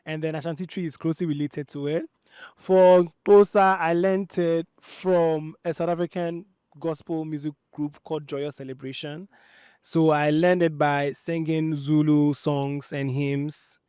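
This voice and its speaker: Man from ghana, student, thoughtful answers, smooth clear tone, slightly high. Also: steady tone, a little slow, a little mellow, no echo, clean studio sound. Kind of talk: casual interview answers.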